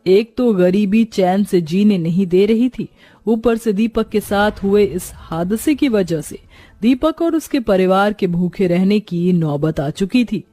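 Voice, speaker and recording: A woman's voice: mid-range voice, animated, measured pace, dark tone, no echo, soft background music. A woman narrating a scripted moral story as its storyteller.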